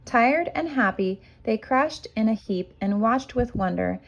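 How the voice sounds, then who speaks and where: A woman reading a children's storybook aloud as a kindergarten teacher, expressive and measured for young listeners. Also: on the high side, gravelly, highs cut off.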